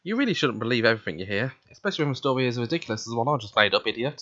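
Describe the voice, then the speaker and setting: A man's voice: mid-range voice, animated, rapid-fire, sharp tone, no room sound. A man voicing a jaded, teasing teenage schoolboy with a sarcastic, dismissive manner in an amateur visual novel voice-over. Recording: dull, muffled home recording.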